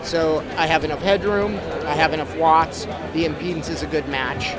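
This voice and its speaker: A man's voice: a little high, steady tone, moderate pace, a loud crowd, rough recording. A middle-aged man giving a casual, step-by-step technical explanation as an expert guest in an interview. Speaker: expert guest, audio trainer. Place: busy trade show floor. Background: music.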